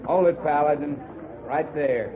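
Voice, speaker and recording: An actor playing a gruff, suspicious frontier father in an old-time radio western. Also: a little high, measured pace, lightly acted. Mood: threatening. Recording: tinny old radio with crowd noise.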